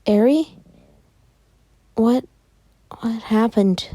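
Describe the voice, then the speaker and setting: A woman's voice: a little high, drawn-out words, mellow, dry recording, pitched above the performer's own voice. Woman as anxious big sister, raised voice. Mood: shaken. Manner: urgent, pleading. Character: anxious protective older sister, a distressed girl.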